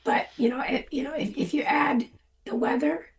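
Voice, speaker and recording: An older hoarse woman, a farm safety guest on a radio show, talking casually and rambling with a self-deprecating manner. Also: high-pitched, steady tone, moderate pace.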